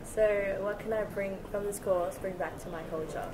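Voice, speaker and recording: A young woman from Australia speaks as a student in a casual group talk. She thinks aloud, hesitates and says "like" often, with clear noise around her. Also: medium pitch, steady tone, moderate pace. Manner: thoughtful, hesitant, uses "like". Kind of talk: casual discussion.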